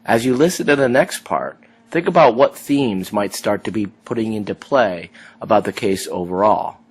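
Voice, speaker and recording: A man's voice: on the low side, moderate pace, slightly rough. A man teaching a class, guiding students through an immigration case with a run of questions. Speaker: law instructor. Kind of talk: lecture commentary.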